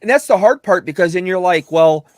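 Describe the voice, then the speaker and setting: Middle-aged actor, slightly whiny, rambling chat, dry close mic. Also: male voice, high voice, fairly quick, dry recording. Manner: animated, rambling, many restarts. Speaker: actor and guest. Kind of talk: casual video chat.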